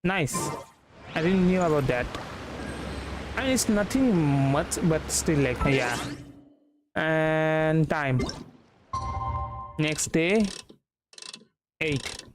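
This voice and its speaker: Higher-pitched Indian man streaming games. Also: expressive, noisy background. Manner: halting, rambling. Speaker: game streamer. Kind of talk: casual live game commentary. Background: game music.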